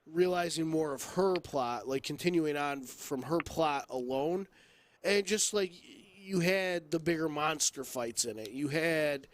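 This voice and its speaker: A man's voice: fairly high pitch, a little slow. A man hosting a podcast, talking in a casual, conversational style. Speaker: podcast host. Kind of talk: casual chat.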